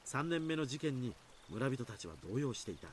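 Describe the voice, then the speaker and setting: Actor as a fast-talking, easygoing explainer man. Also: mid-range voice, very animated, slight background noise, close to an everyday voice. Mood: explaining. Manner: explanatory, reassuring, long-winded. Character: easygoing adult man calmly explaining away village curse rumours. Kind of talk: Japanese visual novel voice acting.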